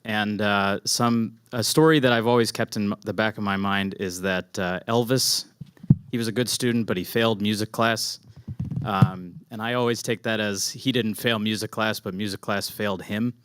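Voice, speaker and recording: A man's voice: on the low side, fairly even intonation, moderate pace, a bit bright, clean tone, no room sound. A man in his thirties in the audience, asking a question politely and hesitantly into a handheld mic. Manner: polite, hesitant, many fillers. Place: audience in an indoor hall.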